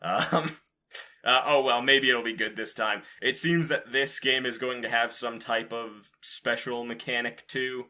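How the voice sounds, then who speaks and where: A man's voice: medium pitch, fairly even intonation, a little slow, pushed and bright. A man hosting a podcast, chatting casually about game news in an informal, rambling, casually profane way. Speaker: podcast host.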